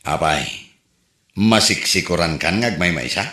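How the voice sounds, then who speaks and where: Slow, joking Ilocano man, bright voice. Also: deep voice, expressive, echoey room. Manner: joking, laughing. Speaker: friend in a comedy skit. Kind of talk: comedic dialogue acting.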